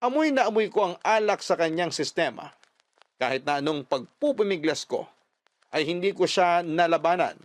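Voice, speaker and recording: Higher-pitched man narrating, dry close sound. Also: animated, normal speed, dry recording. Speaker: radio host reading a listener's story. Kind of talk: dramatic scripted first-person narration.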